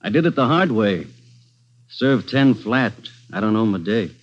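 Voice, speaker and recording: A bitter man just out of prison, sullen and sarcastic. His voice sits a little low. The old radio recording sounds dull and muffled. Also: expressive, a little slow. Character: bitter ex-convict man, sour and resentful. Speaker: released robber visiting detectives. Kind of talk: 1940s radio acting.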